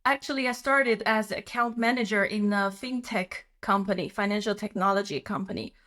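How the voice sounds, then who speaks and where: A woman's voice: mid-range voice, normal speed, a bit bright. A woman from China, chatting as an interview guest. She laughs often and fills her talk with "uh" and "like.". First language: Chinese. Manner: chatty, laughing, with frequent fillers. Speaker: guest, company co-founder. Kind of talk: casual interview.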